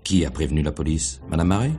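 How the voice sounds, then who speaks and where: An actor playing a probing, sly middle-aged police inspector in a radio mystery play, questioning in a hesitant, deliberate way. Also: male voice, a bass voice, music in the background. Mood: methodical questioning. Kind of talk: French radio mystery play acting in 1950s manner.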